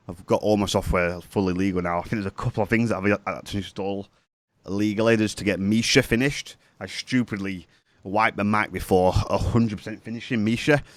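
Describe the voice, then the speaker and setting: A man's voice: lowish voice, no room sound. A man with a cold, chatting casually while giving a music production tutorial at his computer. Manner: chatty, rambling, self-correcting. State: has a cold, sniffly. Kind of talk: casual screen-share tutorial.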